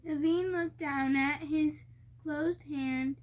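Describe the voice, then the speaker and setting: A young girl reading a storybook aloud haltingly, sounding out and stumbling over harder words. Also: sits high, steady tone, a little mellow. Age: child. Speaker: young reader co-hosting a family reading video.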